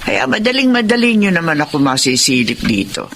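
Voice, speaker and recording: Casting: stubborn elderly Filipina grandmother for radio drama, bright, forceful delivery. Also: fairly low pitch, sing-song, brisk, vocal fry, a little hiss or hum, full character voice. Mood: gently stubborn. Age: older. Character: elderly grandmother, stubbornly attached to her old house.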